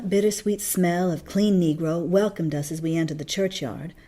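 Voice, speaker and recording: A woman narrating a novel as a read-along, in an expressive storytelling style. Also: fairly low pitch.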